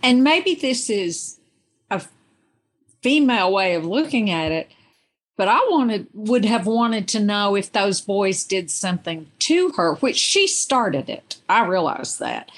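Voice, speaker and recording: A woman with a mid-pitched voice talks at a very slow pace. She sounds like a guest in a reflective book conversation, offering her own reading of the fiction. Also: breathy edge. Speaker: podcast guest, reader.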